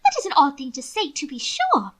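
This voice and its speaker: High, affected aristocratic young lady. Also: huge pitch swings, brisk. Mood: affronted bafflement. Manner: theatrical, prim, overblown period diction. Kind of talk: audiobook character voice. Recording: occasional static crackle from microphone.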